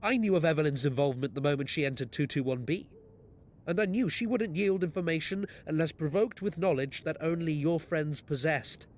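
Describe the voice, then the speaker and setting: An actor playing a cold, imperious detective with a clipped, condescending manner in an audio drama. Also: male voice, fairly high pitch, brisk, lightly acted. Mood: cold, dismissive. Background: guitar music.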